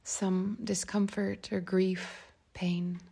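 A woman leading a guided meditation in a calm, gentle, unhurried way, with a breathy voice that softens toward a whisper. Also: medium pitch. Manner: calm, unhurried, gentle phrasing with long pauses. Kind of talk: guided meditation, slow and soft instruction.

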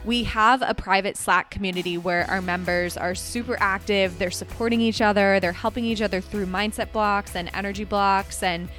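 A woman's voice: medium pitch, steady tone, moderate pace, few pauses, fairly bright tone, soft background music. A woman hosting her own podcast, chatting casually, laughing often and checking in with "right?". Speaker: podcast host. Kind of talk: casual solo podcast talk.

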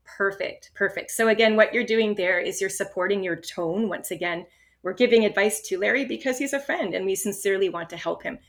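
Woman, test-prep coach, calm explanatory delivery, mid-range voice. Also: mid-range voice, brisk. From Canada. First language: English. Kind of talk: instructional podcast talk.

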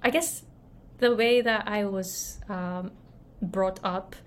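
A woman from Japan teaching voice in a video lesson, talking gently with many restarts and fillers. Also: medium pitch, fairly even intonation, slow pace. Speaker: voice coach.

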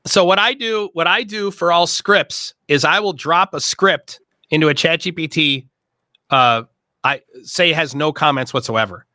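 A man hosting a casual discussion, explaining in quick, emphatic bursts with many fillers. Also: a little high, sharp tone, dry recording. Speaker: host and workshop leader.

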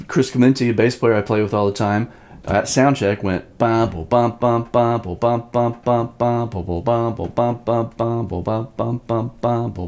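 A man's voice: medium pitch, darkish tone. A man giving an informal drum lesson, explaining step by step and sometimes sounding out rhythms in syllables. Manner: demonstrates rhythms by vocalizing syllables. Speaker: drum teacher. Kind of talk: instructional lesson talk.

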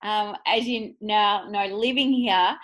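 A woman's voice: mid-range voice, slow pace. A woman hosting a podcast, enthusiastic and welcoming. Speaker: podcast host.

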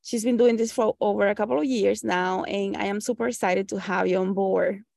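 A woman's voice: mid-range voice, fairly even intonation, brisk, creaky, a little mellow, dry recording, clean studio sound. A woman hosting an interview, encouraging her guest with brief affirmations. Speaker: host.

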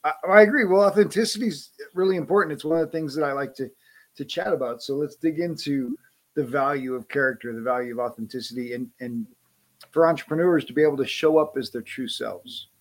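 A man in casual conversation, friendly and quick to laugh, often repeating words as he starts a thought. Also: fairly high pitch.

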